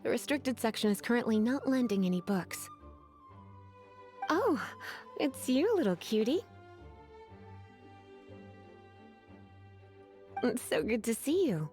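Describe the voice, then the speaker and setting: A woman's voice: a little high, big pitch swings, normal speed, a little mellow, faint music behind. Sultry, teasing woman librarian. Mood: teasing, welcoming. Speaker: video game character voice. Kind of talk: English game dub acting.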